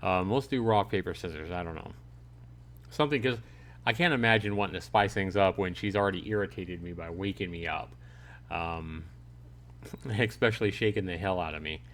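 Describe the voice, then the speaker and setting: Male game streamer, low voice, chatty and jokey, casual play-along commentary with lines read aloud. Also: moderate pace, fairly bright tone. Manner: chatty, jokey, talks back to chat. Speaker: streamer playing a visual novel.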